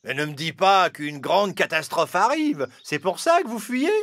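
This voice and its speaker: An actor voicing a carefree, vain male cicada musician in a French children's animated fable, with a theatrical, playful, sing-song delivery. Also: mid-range voice, normal speed. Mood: breezy, dismissive. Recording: loud music behind the voice.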